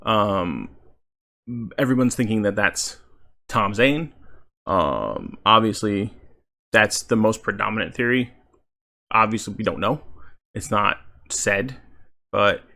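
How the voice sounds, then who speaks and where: A man giving casual video game commentary as a channel host, halting as he thinks aloud. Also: lowish voice, sharp tone.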